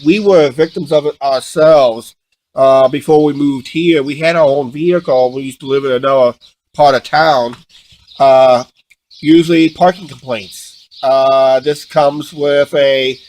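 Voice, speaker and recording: Halting man, slightly high-pitched. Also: a little mellow, poor audio. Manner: halting, repetitive, restarts sentences often. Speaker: vlog co-host. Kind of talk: casual unscripted storytelling.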